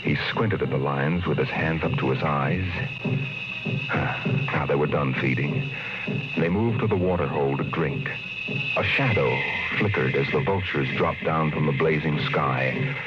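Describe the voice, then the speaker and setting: Very deep-voiced radio narrator man. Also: unhurried. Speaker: host and narrator. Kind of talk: scripted dramatic narration. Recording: dull old radio transcription. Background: orchestral music.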